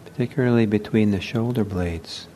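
A man leading a guided meditation as a teacher, speaking gently and unhurriedly with halting pauses. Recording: low rumbling background noise.

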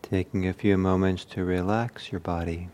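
A man leading a guided meditation as a teacher, speaking in short, measured phrases with long pauses. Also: low-pitched, level tone, darkish tone.

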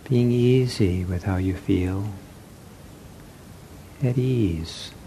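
A man teaching a guided meditation in gentle, soothing short phrases with long pauses. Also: on the low side, a little hiss or hum.

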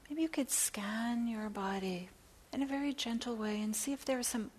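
A woman teaching guided meditation in a soft, breathy near-whisper, gently and with long pauses between phrases. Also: on the high side. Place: meditation center. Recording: clear background hiss.

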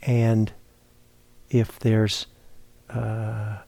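A man teaching a guided meditation, speaking in a halting, reflective way as he searches for words. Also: on the low side, monotone, vocal fry, dark tone, no echo. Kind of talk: guided meditation talk, unscripted. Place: meditation retreat center, indoors.

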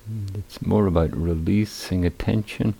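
A man with a very low, creaky voice leads a guided meditation as its teacher. His phrasing is deliberate and a little hesitant, with long pauses between short phrases. The tone is dark and mellow. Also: fairly even intonation. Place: meditation retreat center.

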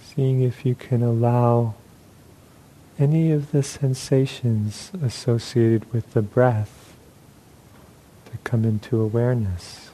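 A man leading a guided meditation as a teacher, speaking calmly and gently in measured phrases with pauses. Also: medium pitch, a little hiss or hum, rough recording.

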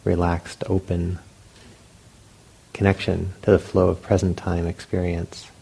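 A man leading a guided meditation as a teacher, speaking gently and unhurriedly in short phrases with long pauses. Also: low-pitched, completely flat delivery, vocal fry, husky, poor audio.

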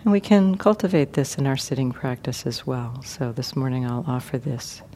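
Gentle woman guiding meditation, faint noise. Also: extremely low pitch. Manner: gentle, unhurried, with many pauses. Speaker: meditation teacher. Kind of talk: guided meditation.

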